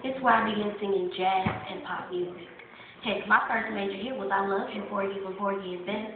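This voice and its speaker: A woman's voice: mid-range voice, steady tone, fairly quick, a crowd in the background. Woman telling her story, echoey hall. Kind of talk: school play monologue. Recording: echoey hall, phone-quality audio.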